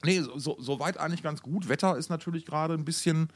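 A man's voice: a little high, fast, no echo. A man chatting casually as a podcast co-host, rambling and often repeating words as he searches for them. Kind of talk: casual chat between two podcast hosts.

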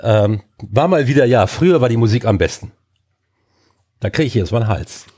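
A man's voice: medium pitch, lively intonation, fairly quick, mellow. An older man and podcast co-host with a stuffy nose from a cold, ranting emphatically in casual chat with many fillers.

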